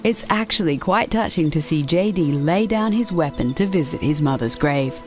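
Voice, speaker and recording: A woman's voice: low-pitched, animated, moderate pace, a little mellow, faint music behind. A woman reading scripted narration for a video list show about games.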